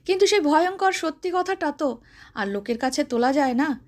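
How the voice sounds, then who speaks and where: A female storyteller with a distinctly high voice reads a short story aloud. Her narration is expressive, in the manner of someone telling a tale to listeners. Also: brisk.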